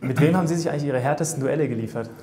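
A man's voice: a little high, racing, dark tone. A man in his thirties interviewing a sports guest, asking friendly, chatty questions with frequent fillers. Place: bright office room with plants. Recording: roomy office echo.

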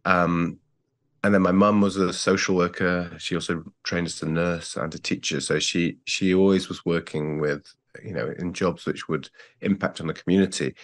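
A man in his forties from England with an English accent, recalling his family in a thoughtful, measured way on a video call. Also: sits low, fairly even intonation. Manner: thoughtful, measured, with frequent restarts. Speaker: guest. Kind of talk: reflective interview talk. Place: plain-walled home room. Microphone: earbuds on a video call.

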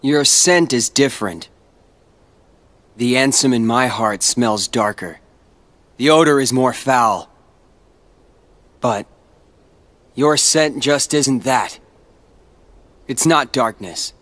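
Teen boy game hero: earnest, brooding, mid-range, slow halting delivery. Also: medium pitch, fairly bright tone. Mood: resolute, accusing. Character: brooding, earnest teenage boy hero fighting inner darkness. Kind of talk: video game cutscene acting.

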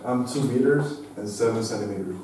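A man's voice: mid-range voice, fairly quick. A Colombian basketball player in his twenties answering student questions in a blunt, joking way. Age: 20s. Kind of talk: casual classroom Q&A. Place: classroom, standing at the front. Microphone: far camera. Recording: echoey classroom from far off, noisy students.

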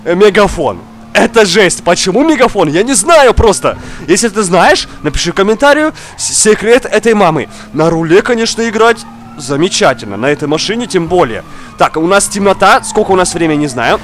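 A young man, slightly under the weather, giving excitable casual gameplay commentary, exclaiming, repeating words and talking straight to the viewer. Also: high-pitched, fairly quick. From outside Russia. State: slightly ill, coughing now and then. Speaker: gaming streamer. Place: indoor room, seated at racing wheel.

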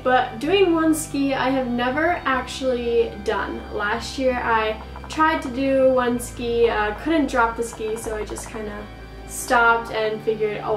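A woman's voice: fairly high pitch, roomy sound, far from the mic, low-quality recording. A young woman chatting to camera for her vlog in a bedroom, bubbly and chatty, full of "like" and "so.". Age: young adult. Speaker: vlogger. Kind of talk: casual vlog chat to camera. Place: bedroom, indoors.

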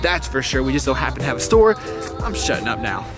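A man's voice: medium pitch, sing-song, quick pace, over music. A man in his twenties, a fitness vlogger, talking casually and upbeat to a handheld camera at arm's length, with joking asides to viewers. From Texas. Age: 20s. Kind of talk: casual vlog talk to camera. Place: gym, outdoors walking, home kitchen. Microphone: camera mic, handheld at arm's length.

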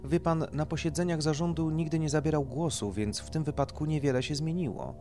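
A man's voice: fairly high pitch, fairly quick, mellow, soft background music, voice pushed up, natural voice. A man voicing a snobbish, gossipy society wife in an audio story, indignant and vulgar, with emphatic delivery. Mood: chatty, blasé.

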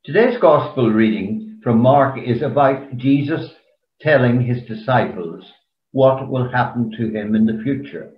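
An elderly man giving a measured sermon, reading a meditation from notes. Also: medium pitch. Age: older, around 80. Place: small room at home, indoors. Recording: roomy, dull webcam sound.

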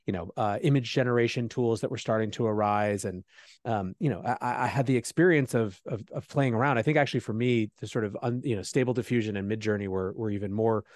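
A man answering as a podcast guest, talking quickly and fluently with lots of "you know.". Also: fairly low pitch, darkish tone, no echo, studio quality. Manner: rapid, fluent with frequent "you know" fillers. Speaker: podcast guest, show host. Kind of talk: conversational interview answer.

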